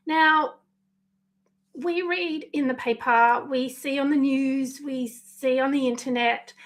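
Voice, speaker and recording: A woman with a husky, slightly worn voice that still sits fairly high, talking to viewers in an unscripted live video. She goes slowly and pauses often, picking her words carefully and apologizing along the way, like a coach talking things through. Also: a bit bright. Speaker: life coach. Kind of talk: spontaneous live video talk.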